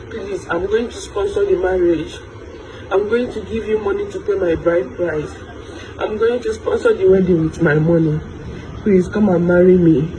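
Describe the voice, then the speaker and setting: A woman's voice: fairly low pitch, noisy background. Pleading woman in her thirties. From Nigeria. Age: 30s. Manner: repetitive, pleading. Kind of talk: pleading social media video.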